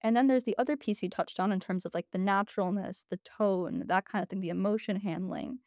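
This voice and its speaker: Fast-talking woman guest explaining. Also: medium pitch, very animated, a little mellow, dry recording, clean studio sound. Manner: explanatory, thinking aloud with "like" and "you know". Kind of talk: casual interview.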